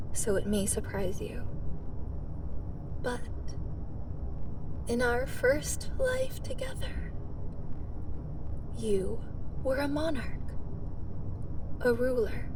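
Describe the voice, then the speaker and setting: A woman playing an embittered immortal hero, obsessed with her fated enemy, in a dramatic dark fantasy monologue that is brooding and often drops into breathy whispers. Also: on the high side, recorded from a distance, noisy background, full character voice.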